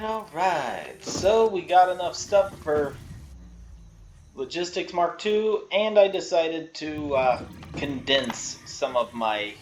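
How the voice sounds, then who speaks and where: Man, casual game commentary, slow pace, playful, laughs a lot. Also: on the high side. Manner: chatty, laughs often, playful. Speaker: gameplay creator.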